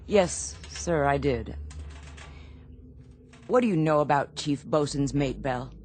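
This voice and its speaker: A woman playing a troubled Navy ship's doctor in a radio drama, grave, earnest and halting. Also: deep voice, lively intonation, darkish tone, a little hiss or hum. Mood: grave, worried.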